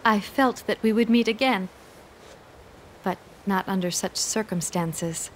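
A woman's voice: mid-range voice, measured pace, a little hiss or hum, lightly acted, poor audio. An actress playing a poised, dutiful young noblewoman of ancient China in a video game, speaking formally and courteously. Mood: soft, devoted. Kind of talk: dubbed video game cutscene acting.

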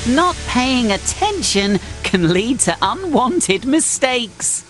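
Curious, cheerful young male steam engine for a kids' cartoon game: expressive, unhurried, music underneath. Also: a little high. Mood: reflective. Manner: earnest, expressive storytelling to children. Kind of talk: children's cartoon game voice acting.

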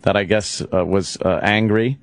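A man's voice: on the low side, fairly even intonation, moderate pace, no echo. A man hosting a radio show, chatting casually.

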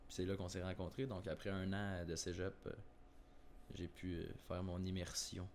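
A man's voice: low voice, level tone, moderate pace, a little hiss or hum. A twenty-year-old man, a podcast guest chatting enthusiastically and casually into a handheld mic.

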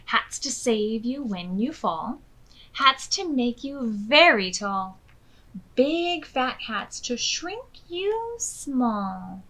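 An American woman in her thirties hosting storytime, reading aloud expressively and clearly to young children. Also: fairly high pitch, very animated, very slow, fairly bright tone. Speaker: children's storytime host at a public library. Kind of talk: read-aloud storytelling for preschoolers. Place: small furnished room indoors.

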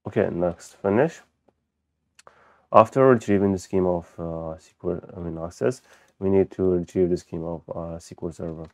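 A man who speaks as a gives an unscripted software walkthrough, haltingly thinking aloud as he demonstrates. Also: low voice, dry recording. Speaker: software tutorial presenter. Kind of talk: unscripted screen-recorded tutorial walkthrough. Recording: dull, lo-fi close microphone.

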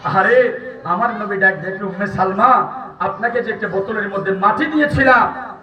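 A man's voice: high-pitched, normal speed, sharp tone. A man with a Bangladeshi Bengali accent preaching an impassioned, declamatory Islamic sermon to a gathering, pressing his listeners to respond. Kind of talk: fiery waz sermon to a gathering. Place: religious gathering at a madrasa. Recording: echoey PA, distant dull mic, crowd.

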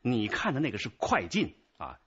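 An actor in a radio drama playing a patient, methodical police squad leader, affable yet authoritative. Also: male voice, medium pitch, huge pitch swings, moderate pace, fairly bright tone, slightly rough, exaggerated voice. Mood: businesslike, firm. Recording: dull lo-fi radio drama track.

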